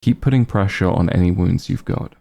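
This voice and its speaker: A man playing a calm, caring back-room doctor who gently scolds an old friend in a softly spoken ASMR roleplay, soothing with dry bluntness. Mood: brisk, dry concern. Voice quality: low, gravelly, husky. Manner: soothing, unhurried bedside reassurance with dry bluntness.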